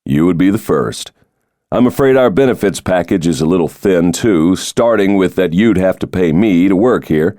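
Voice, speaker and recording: A man's voice: low voice, animated, moderate pace, dark tone, dry recording. A man voicing a wry, weary middle-aged country doctor with dry, understated wit, in an audiobook. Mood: dry teasing. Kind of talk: audiobook character dialogue.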